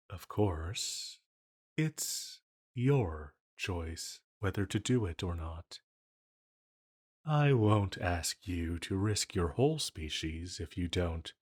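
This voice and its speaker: An ancient villain from an audio drama , acted by a man, speaking in a low register. He is elegant and menacing with a fond streak, and takes his time over every formal phrase, leaving deliberate pauses. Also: husky, full character voice. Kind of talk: scripted intimate audio roleplay.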